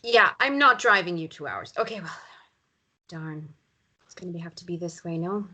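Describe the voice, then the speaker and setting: A woman's voice: fairly low pitch, animated, moderate pace, sharp tone, full character voice. A woman playing a harried, irritable but reluctantly hospitable countryside mother in a drama table read. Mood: exasperated.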